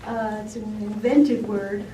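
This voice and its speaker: A woman moderating a panel discussion in a meeting room, chatty and relaxed with fillers and little laughs. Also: mid-range voice, slow, a little hiss or hum. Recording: echoey room, far from the mic.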